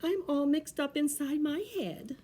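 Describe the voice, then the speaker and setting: A woman voicing a big, muddled, good-natured male bear in a children's storybook, with a simple, earnest, drawn-out delivery. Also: high voice, mellow, slight background noise, voice pushed up. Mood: apologetic, friendly.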